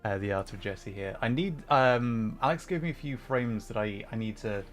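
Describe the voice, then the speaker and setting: A man's voice: on the low side, moderate pace, fairly bright tone, faint music behind. A man hosting a gaming livestream, chatting casually and playfully while he comments on the game.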